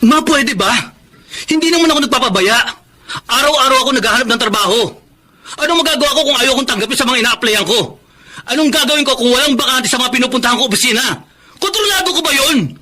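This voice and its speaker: A stubborn jobless husband. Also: male voice, very high voice, expressive, quick pace, sharp tone, heavily acted. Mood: defensive. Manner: stubborn, defensive, emotional. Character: proud jobless husband and father. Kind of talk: radio drama acting and narration.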